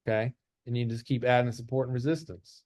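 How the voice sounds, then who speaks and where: A man's voice: medium pitch, fairly even intonation, quick pace, a little mellow, no echo, studio quality. A man giving an informal lesson as an instructor, explaining step by step and repeating his rules. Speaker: trading instructor. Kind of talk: informal chart-based lesson.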